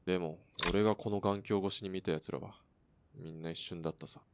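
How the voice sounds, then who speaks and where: A man's voice: low-pitched, steady tone, brisk, gravelly, background noise. A man playing a laconic, brooding sharpshooter in a Japanese radio drama, with a laid-back, terse and evasive manner. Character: laconic, brooding male sharpshooter, nonchalant smoker.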